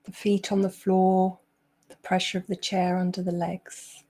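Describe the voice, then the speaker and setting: A woman guiding a meditation as a teacher, speaking gently and leaving long pauses. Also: lowish voice, completely flat delivery. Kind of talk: guided meditation.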